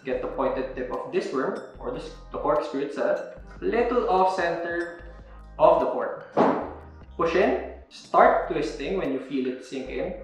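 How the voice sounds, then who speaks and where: A man's voice: fairly high pitch, animated, measured pace, soft background music. A young man explaining step by step how to open a wine bottle, in an instructional demo recorded indoors. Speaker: wine presenter. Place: indoor room with a table. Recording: very echoey room.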